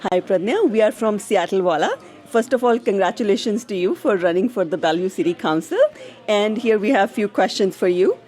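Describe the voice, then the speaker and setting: A woman's voice: medium pitch, lively intonation, fairly quick, darkish tone. Woman interviewer, short friendly prompts. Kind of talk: interview questions.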